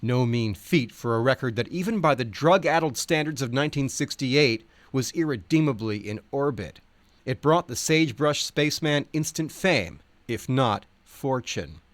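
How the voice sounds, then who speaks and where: A man's voice: medium pitch, expressive, moderate pace, no echo. A man reading nonfiction aloud as a narrator, in an articulate, wry, wordy style.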